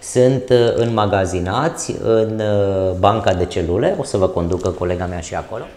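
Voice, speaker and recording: A middle-aged man with a Romanian accent, a doctor, explaining clinic procedures during a tour in a measured, hesitant way. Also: on the low side, darkish tone. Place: clinic corridors and lab, indoors. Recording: echoey clinic rooms.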